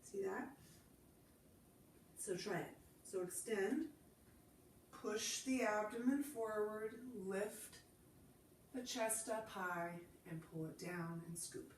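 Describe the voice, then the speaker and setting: A woman with a stuffy head from a cold, calmly leading a Pilates class and cueing each movement while she demonstrates. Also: medium pitch, slow, long pauses, reverberant, recorded from a distance, a little hiss or hum, rough recording. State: congested from a cold. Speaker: Pilates instructor. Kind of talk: guided exercise class instruction.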